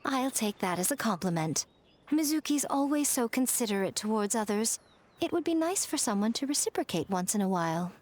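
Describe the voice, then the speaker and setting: An actress playing an elegant, self-assured shrine head in a video game, speaking in a measured, articulate, teasing way. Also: female voice, fairly high pitch, sing-song, darkish tone. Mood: sly, teasing. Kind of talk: English video game voice acting. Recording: faint music behind the voice.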